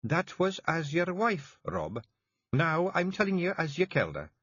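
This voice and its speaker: Man putting on a high husky clan mother, bossy and sharp as ice, dull old recording. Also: wildly swooping pitch, measured pace, no room sound, exaggerated voice. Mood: commanding. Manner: sharp, commanding, cold when ordering. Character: small fierce clan matriarch, wife and leader.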